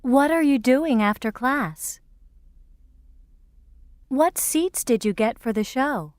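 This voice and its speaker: A woman reading example sentences and a reading passage for textbook audio, slowly and carefully. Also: high voice, expressive, no echo, clean studio sound. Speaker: textbook reader. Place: recording studio.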